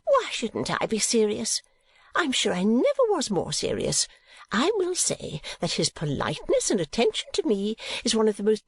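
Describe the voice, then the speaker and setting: A woman's voice: mid-range voice, no echo, pitched above the performer's own voice. A woman voicing a garrulous, gushing, easily affronted middle-aged mother in an audiobook, emphatic and exclamatory. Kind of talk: audiobook character acting.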